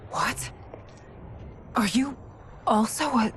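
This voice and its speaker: A woman playing a regal, solemn warrior queen in a video game, speaking in an earnest, breathy, measured way. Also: fairly high pitch, slight background noise. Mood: bewildered. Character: regal warrior queen, female keeper of time, solemn and wistful. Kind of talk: cinematic video game acting.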